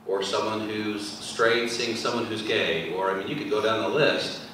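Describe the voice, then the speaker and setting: Middle-aged man, deacon, measured, earnest, low voice, table mic, echoey hall. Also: fairly even intonation, a bit bright, far from the mic, slight background noise. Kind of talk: panel discussion. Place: large church hall.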